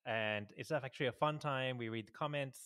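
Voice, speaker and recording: A man's voice: mid-range voice, a little fast, fairly bright tone, clean tone. A man co-hosting a podcast in casual chat, agreeable and fond of repeated short affirmations, on a podcast microphone. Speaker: podcast co-host. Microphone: podcast microphone, video call.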